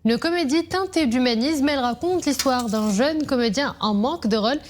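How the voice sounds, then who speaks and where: A woman news anchor reading scripted headlines in a clear, measured delivery. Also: high-pitched, a little hiss or hum.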